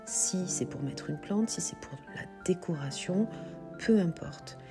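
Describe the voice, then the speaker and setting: A woman's voice: fairly low pitch, lively intonation, unhurried, music in the background. A woman with a cold walking viewers through her shop's pottery stock, chatting as she explains prices and sizes. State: has a cold. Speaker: shop owner presenting stock. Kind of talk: product walkthrough video.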